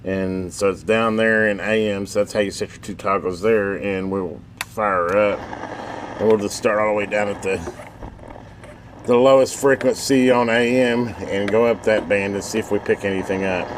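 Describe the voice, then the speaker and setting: A man's voice: on the low side, normal speed. A man hosting a casual hands-on video demo, explaining in a folksy, easygoing, rambling way inside a metal building. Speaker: host of a radio repair channel. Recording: noisy room, steady background hum.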